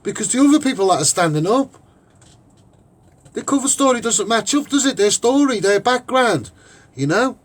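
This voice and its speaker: High-pitched, husky Scouse man speaking his mind. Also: very animated, normal speed, long pauses. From Liverpool, England. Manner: emphatic, rambling, repeats phrases. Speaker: online broadcaster and activist. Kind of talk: off-the-cuff opinionated monologue.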